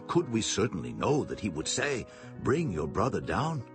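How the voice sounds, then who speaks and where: An actor playing an anxious, deferential Israelite brother, explaining himself formally in a dramatized scripture reading. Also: male voice, on the low side, wildly swooping pitch, normal speed. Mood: defensive. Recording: music behind the voice.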